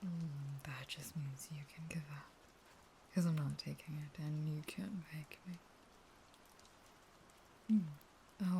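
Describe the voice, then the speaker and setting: Woman acting a breathy, low-voiced starving female vampire. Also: dark tone, a little hiss or hum. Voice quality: breathy, half-whispered. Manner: slow, taunting, pleading, volatile. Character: caged starving female vampire, bitter, pleading, unstable. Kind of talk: intimate scripted audio roleplay.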